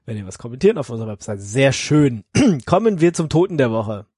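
A man's voice: mid-range voice, big pitch swings, fairly quick, darkish tone, slightly rough, no echo. Male podcast co-host from Berlin, casual and teasing, mid-range voice, very dry recording. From Berlin, Germany. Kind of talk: casual chat.

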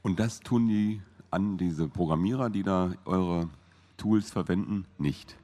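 A man's voice: deep voice, a little slow, mellow. A man chatting informally as an interviewer, in a loose conversational manner. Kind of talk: casual interview chat.

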